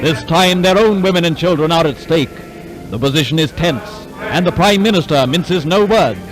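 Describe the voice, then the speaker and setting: Male newsreel announcer, 1940s style, higher-set voice, declamatory delivery over prominent music on a vintage soundtrack. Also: moderate pace, poor audio. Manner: dramatic, clipped, declamatory. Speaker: newsreel narrator. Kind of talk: 1940s wartime newsreel narration, scripted. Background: orchestral newsreel music.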